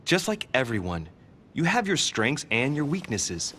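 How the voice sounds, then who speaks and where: An actor playing a persistent, earnest young investigator from a secret society in a video game, coaxing and insistent. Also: male voice, medium pitch, huge pitch swings, measured pace, sharp tone, a little hiss or hum, heavily acted. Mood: persuasive. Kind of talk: dramatic video game dialogue. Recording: music under the voice.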